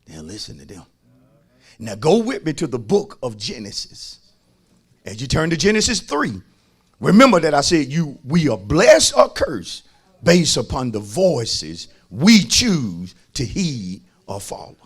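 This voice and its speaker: A man's voice: mid-range voice, wildly swooping pitch, unhurried, husky. A man preaching as a pastor, emphatic, repeating phrases and calling on his listeners to respond. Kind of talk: sermon.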